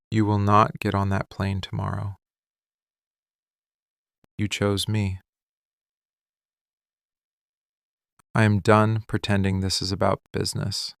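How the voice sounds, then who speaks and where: A man playing a possessive, tender mafia boss in a boyfriend ASMR roleplay, speaking soothingly and deliberately in short, hushed phrases. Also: lowish voice, completely flat delivery, vocal fry, clear, resonant tone, no room sound, clean studio sound. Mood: possessive, devoted. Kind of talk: boyfriend ASMR roleplay, slow intimate monologue.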